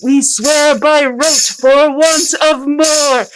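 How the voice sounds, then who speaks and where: A high-pitched puppet played by a woman leads children in a sing-along sea shanty. The delivery is slow and songlike, with music clearly playing behind it. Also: fairly even intonation, low-quality recording. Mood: singing, rousing.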